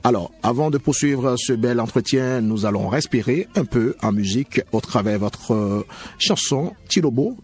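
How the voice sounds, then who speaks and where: A man hosting a gospel radio program from the studio, introducing songs and asking questions in a smooth, formal announcer's manner. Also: medium pitch, expressive, moderate pace. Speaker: radio show host. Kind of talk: radio presenting.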